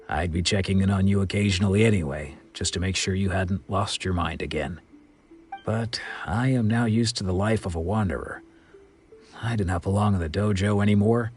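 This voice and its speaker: A stern swordsman mentor from a video game, voiced by a man, with a somewhat low voice. He talks bluntly and with gruff teasing. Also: natural voice. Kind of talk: video game cutscene dialogue.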